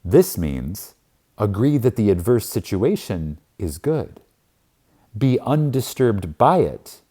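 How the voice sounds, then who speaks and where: Slow, mellow, low-pitched male audiobook narrator. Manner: reverent, emphatic, with dramatic pauses. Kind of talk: scripted audiobook narration of a spiritual book.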